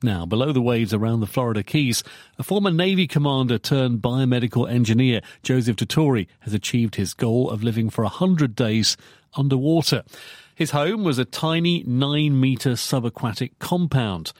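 A man presents the news in a crisp, formal manner. His voice sits in the middle range, recorded dry and close. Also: mid-range voice, moderate pace, a little mellow, dry recording. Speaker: news anchor.

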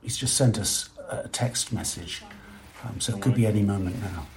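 A man's voice: fairly low pitch, normal speed, creaky, a little mellow. A man reporting on location, speaking to the microphone while on the move in a vehicle. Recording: noisy car interior ambience.

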